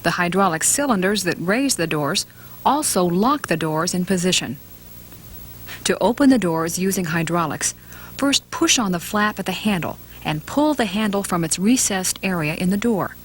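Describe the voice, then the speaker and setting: A woman reading scripted instructional narration for a training film in a measured, matter-of-fact way. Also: fairly low pitch, animated, slight background noise.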